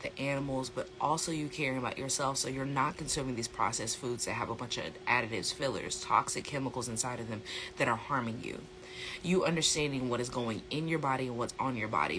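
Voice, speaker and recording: Bright, slightly hoarse American lifestyle coach. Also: medium pitch. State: slightly hoarse from a sore throat. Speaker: host, plant-based lifestyle coach. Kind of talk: casual educational vlog talk.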